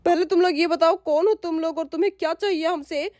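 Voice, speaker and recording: महिला द्वारा घबराई हुई गाँव की माँ. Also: female voice, very high voice, fairly even intonation, a little fast, a little mellow, no echo, clean studio sound. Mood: pleading. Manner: pleading, anxious. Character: worried village mother, protective and pleading.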